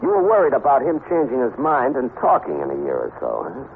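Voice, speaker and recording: An American actor playing a sardonic, hard-boiled private detective in a 1950s radio crime drama, wisecracking and menacing. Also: male voice, mid-range voice, wildly swooping pitch, fast, vocal fry. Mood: hard, mocking. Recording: noisy, muffled 1950s radio recording.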